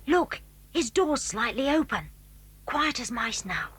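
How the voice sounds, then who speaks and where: Earnest, high-voiced British schoolboy. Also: female voice, very animated, slow pace, a bit bright, slight background noise, full character voice. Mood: conspiratorial, eager. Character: eager, cautious schoolboy who leads his friends. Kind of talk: 1980s British children's audio drama acting.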